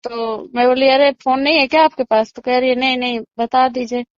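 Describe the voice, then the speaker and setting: High-voiced woman on a dull phone recording. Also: steady tone, a little fast, no room sound. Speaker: eyewitness interviewee on a phone call. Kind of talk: casual recounting of events.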